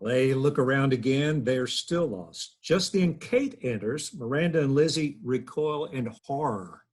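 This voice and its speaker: A man with a husky voice narrating stage directions for a table read. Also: mid-range voice, lively intonation, measured pace, a little mellow.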